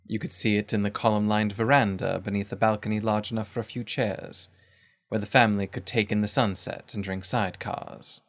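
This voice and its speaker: Low-voiced man narrating a story. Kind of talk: scripted literary story narration.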